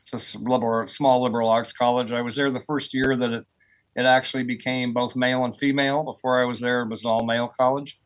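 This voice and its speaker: A man's voice: mid-range voice, level tone, fast talker, poor audio. An American man in his sixties answering interview questions as an academic guest, explaining things in an informal, conversational way. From United States, rural Oklahoma. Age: 60s. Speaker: guest, earth sciences professor.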